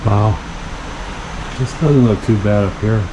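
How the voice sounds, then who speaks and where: A man giving casual, offhand exploring commentary to himself as he walks through an abandoned indoor space. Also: fairly low pitch. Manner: unhurried offhand remarks to himself. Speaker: urban explorer vlogger. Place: dark indoor basement and kitchen of abandoned plaza. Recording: faint background noise and room ambience.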